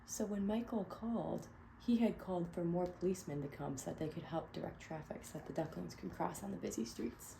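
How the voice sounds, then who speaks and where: A woman reading a picture book aloud to children, pausing to ask them questions. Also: lowish voice, gravelly, background noise. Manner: gentle, asks children questions. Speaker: read-aloud storyteller.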